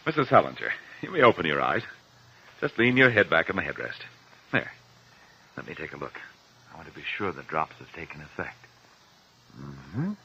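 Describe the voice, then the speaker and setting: An actor playing a brisk, principled doctor with a simmering temper in a 1950s radio drama. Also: male voice, very deep voice, big pitch swings, pushed and bright, slight background noise, full character voice. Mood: calm, professional. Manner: brisk, clipped instructions. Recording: muffled old 1950s radio recording.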